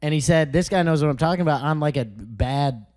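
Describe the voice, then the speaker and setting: Excitable man laughing through stories. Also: a little high, fairly quick. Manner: excitable, laughs often while telling a story. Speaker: podcast co-host. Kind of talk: casual comedic chat. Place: podcast studio at home.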